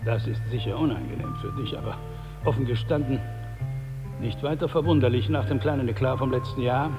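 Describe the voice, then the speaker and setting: An actor playing a powerful, smooth-talking crime boss in a radio drama, patronizing and unhurried in his politeness, with impatient asides. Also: mid-range voice, highs cut off, over music, lightly acted. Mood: condescending, controlled. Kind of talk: German radio drama acting, with Spanish asides.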